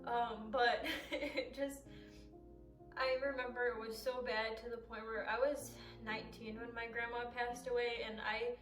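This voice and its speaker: A young woman in her twenties, a native Arabic speaker raised in the United States, talks openly to her camera with a high, bright pitch. She chats easily and laughs often. The sound is roomy and a little distant from the mic, with faint music underneath. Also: flat delivery, unhurried. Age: 20s. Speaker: vlogger. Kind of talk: candid personal talk to camera.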